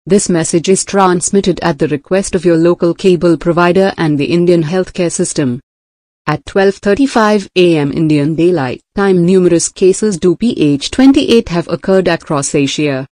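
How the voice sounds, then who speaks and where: A woman's voice: fairly low pitch, moderate pace, darkish tone, no echo. Dry synthetic female alert announcer. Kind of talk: scripted emergency broadcast announcement.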